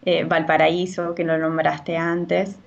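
A woman's voice: sits low, level tone, takes it slowly, vocal fry, lo-fi sound. A woman from Chile, a real estate agent giving hesitant interview answers full of fillers and restarts.

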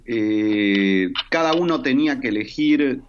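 A man, answering interview questions in a measured, reflective way and checking in with "¿no?". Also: mid-range voice, animated, a little hiss or hum. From Argentina. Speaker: guest. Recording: muffled lo-fi audio.

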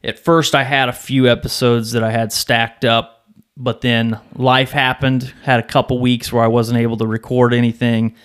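A man's voice: mid-range voice, level tone, normal speed, fairly bright tone, smooth. Casual male podcast host with an everyday voice. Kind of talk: casual chat.

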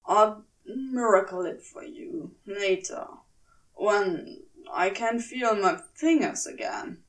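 A woman puts on a tipsy, condescending man for an audiobook story. He drawls slowly and slurs, coaxing with loose, lively intonation. The voice sits a little away from the microphone. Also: medium pitch, recorded from a distance, pitched below the performer's own voice, low-quality recording. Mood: drunkenly coaxing. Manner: slurred, loose, scornful drawl. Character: drunk, patronizing, coaxing man sprawled on a sofa.

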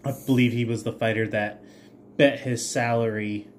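A man co-hosting a podcast, telling stories conversationally in a halting, informal way with casual swearing. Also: mid-range voice, fairly even intonation, slight background noise. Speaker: podcast co-host.

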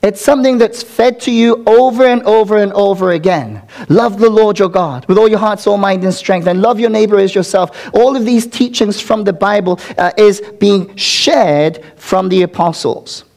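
A pastor preaches to his congregation in an earnest sermon, often checking in with the room through call-and-response asides. His voice sits notably high for a man. He is speaking in a church sanctuary. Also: normal speed, vocal fry, hardly any pauses, a little mellow.

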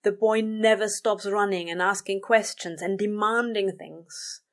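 A woman voicing a weary, dramatic male demon in an audio story, with drawn-out, exasperated grumbling. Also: mid-range voice, fairly even intonation, close to an everyday voice. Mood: whining, dramatic.

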